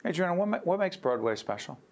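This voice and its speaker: A man's voice: sits high, big pitch swings, fast talker, gravelly. A man from New Jersey hosting an interview, chatty and joking, often restarting and wandering off his questions. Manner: chatty, jokey, restarts and digresses. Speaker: interview host. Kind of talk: casual interview chat.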